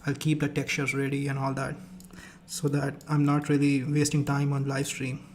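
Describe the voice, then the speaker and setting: A man's voice: mid-range voice, completely flat delivery, moderate pace, slight background noise. A young man with an Indian accent, whose first language is Rajasthani, hosting a live stream in casual chat, hesitant with many fillers and restarts.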